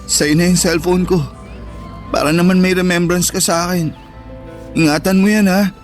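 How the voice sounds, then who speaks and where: Male actor as high-pitched Filipino teen brother, protective and easily wounded, heartfelt radio drama over soft music. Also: fairly even intonation, normal speed, natural voice. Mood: tender, reassuring. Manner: earnest, emotional delivery. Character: hurt, affectionate teenage boy, protective older brother. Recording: music behind the voice.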